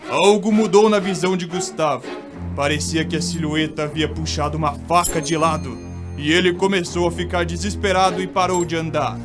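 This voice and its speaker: A man's voice: a little high, fairly even intonation, a little fast. A man with a Brazilian accent giving dramatic scripted narration of a ghost story. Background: music.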